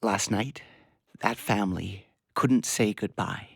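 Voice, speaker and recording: A man playing a weary, pleading doctor and father in an audio play, speaking hesitantly and haltingly. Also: fairly low pitch, vocal fry, fairly bright tone, breathy edge, dry recording, studio quality, heavily acted. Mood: grieving. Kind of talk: audio drama acting.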